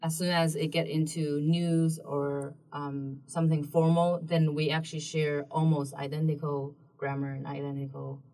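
Low-voiced woman with a Mandarin Chinese accent. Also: flat delivery, warm tone. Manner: thoughtful, explaining with many um pauses. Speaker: guest. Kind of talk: casual explanatory chat. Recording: distant mic in a room.

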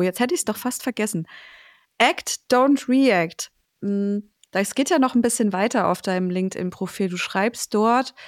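A woman's voice: medium pitch, dry recording. A woman with a mid-range voice hosts a podcast interview, asking friendly questions and nodding along with short affirmations. She is recorded close and dry.

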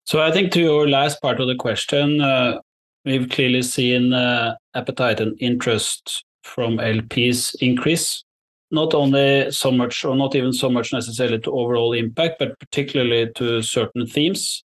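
A man speaking as an executive guest, giving considered interview answers in measured, halting phrases. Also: medium pitch, steady tone, poor audio.

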